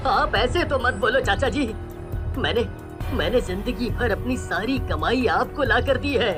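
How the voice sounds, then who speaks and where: A woman's voice: fairly high pitch, lively intonation, unhurried. A woman voicing a meek, humble young father, poor and helpless, in a plaintive dramatized story style. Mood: pleading. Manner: plaintive, pleading. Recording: music bed behind the voice.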